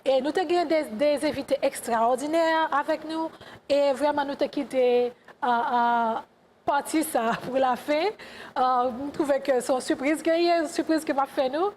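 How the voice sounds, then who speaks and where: A woman with a high voice hosts a talk show and interviews her guest. She speaks haltingly, restarting her sentences and switching between French and English. A faint noise runs underneath. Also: a little mellow. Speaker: host.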